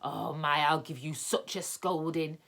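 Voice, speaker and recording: A woman voicing an angry, bossy fairy-tale man who shouts and scolds in a storybook. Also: low voice, unhurried. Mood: furious scolding.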